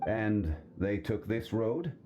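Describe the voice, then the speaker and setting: A deep-voiced, dignified game gentleman. He speaks formally and with measured care, his pitch moving expressively, music playing behind him. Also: expressive. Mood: calm, thoughtful. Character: composed, dignified, scholarly gentleman adviser. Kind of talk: video game character dialogue.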